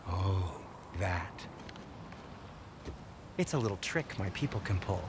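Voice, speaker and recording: Fussy, animated dwarf craftsman. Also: low voice, slow pace, background noise. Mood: sheepish. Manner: hesitant, fussy, jittery. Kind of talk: video game dialogue acting.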